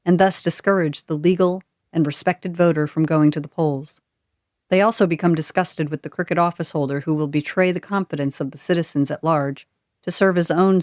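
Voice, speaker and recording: A woman's voice: on the low side, a little mellow, dry recording, studio quality. A woman podcast host delivering scripted narration, reading aloud and reflecting on what she reads.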